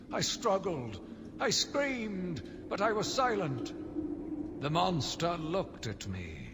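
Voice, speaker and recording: A zealous, deluded asylum warden for a game. His delivery is grandiose and deliberate, very slow and full of swelling pitch movement. A long reverb hangs over his theatrical diary confession. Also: full character voice. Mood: haunted dread. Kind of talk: dramatic first-person game monologue. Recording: long reverb, clearly noisy ambient backdrop.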